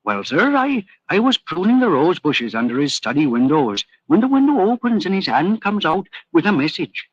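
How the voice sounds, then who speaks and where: An old gardener for a vintage radio play. He is humble and eager to oblige, with folksy turns of phrase. The voice is husky and swings in pitch, on a dull old recording. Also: big pitch swings, moderate pace, hardly any pauses, dry recording. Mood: confiding. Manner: deferential, folksy, repeats himself. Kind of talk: 1940s radio drama acting.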